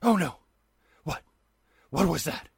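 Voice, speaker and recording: An actor playing a nervous, hunted man who fears murder, talking to himself in halting, panicky bursts in a radio drama. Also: fairly high pitch, expressive, vocal fry, exaggerated voice. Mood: jumpy, nervous. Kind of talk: 1940s radio drama acting.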